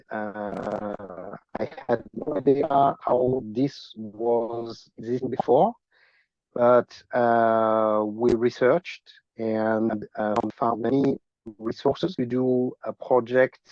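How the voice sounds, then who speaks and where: A man from France with a heavy French accent, introducing himself haltingly on a video call and searching for words. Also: mid-range voice, a little mellow, no room sound, dull audio. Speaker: meeting participant.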